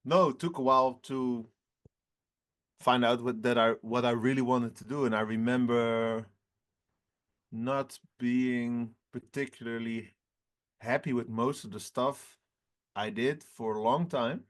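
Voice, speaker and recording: A singer in his twenties answering interview questions in a relaxed, halting way, often laughing between phrases. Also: medium pitch. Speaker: rock band singer, guest.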